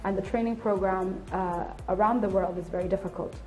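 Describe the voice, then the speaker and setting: A woman's voice: medium pitch, steady tone, a little fast, a little mellow, faint music behind. A woman in her late twenties giving candid, articulate explanations in an interview. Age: late 20s. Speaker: air traffic control officer and instructor being interviewed.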